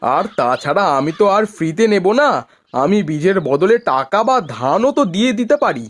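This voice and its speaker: A man's voice: a little high, big pitch swings, moderate pace, no room sound. A man voicing a cheerful, polite farmer husband from a village, in cartoon dialogue. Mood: cheerful, eager.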